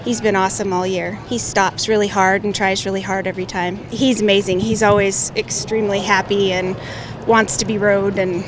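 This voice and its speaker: Woman from montana, horse rider, flat monotone, matter-of-fact, noisy outdoor background. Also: medium pitch, moderate pace.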